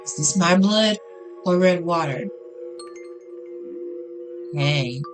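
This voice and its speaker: A woman's voice: lowish voice, lively intonation, extremely slow. A woman reading visual novel text aloud as a gaming host, in an informal way with casual reactions. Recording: music behind the voice.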